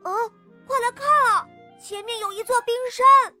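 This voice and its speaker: A woman's voice: very high-pitched, normal speed, sharp tone, pitched above the performer's own voice, full character voice. A woman voicing a cute, brave young child adventurer who exclaims wide-eyed in a storybook tale. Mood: alarmed, excited. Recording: music under the voice.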